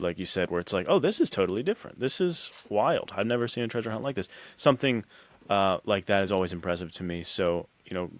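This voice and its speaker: A man's voice: lowish voice, no echo. A man hosting a casual podcast interview, talking informally and voicing imagined remarks as he goes. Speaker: podcast host and writer.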